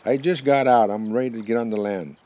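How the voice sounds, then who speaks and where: A man's voice: mid-range voice, expressive, fairly quick, a little mellow, pitched above the performer's own voice, close to an everyday voice. A man voicing a big, fat, obliging buffalo who answers plainly and patiently in an oral animal folktale.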